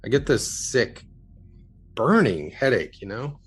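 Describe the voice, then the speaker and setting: A man playing a haunted, earnest UFO witness in an audio drama, telling his story haltingly. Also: mid-range voice, very animated, husky, soft background music, full character voice.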